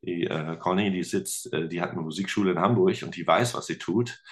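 A man with a Ruhr German accent speaks in a very deep voice. He chats freely as a podcast guest, rambling through stories with plenty of fillers. The tone stays easygoing and conversational. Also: fairly quick. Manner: chatty, relaxed storytelling with many fillers. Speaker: podcast guest, teacher and musician. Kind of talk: casual interview chat.

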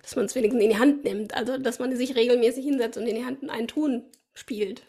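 A woman's voice: a little high, fairly even intonation, a little fast, warm tone, breathy edge. A woman hosting an interview podcast, encouraging her guest with short agreements and frequent laughter. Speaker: podcast host. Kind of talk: casual interview chat.

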